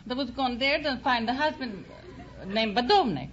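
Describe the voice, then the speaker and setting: A woman contestant with an Eastern European accent, chatting with a host in halting, broken English on an old radio show. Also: a little high, expressive, lo-fi sound. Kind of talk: casual banter with host. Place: radio studio with audience. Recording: very noisy old radio, loud audience.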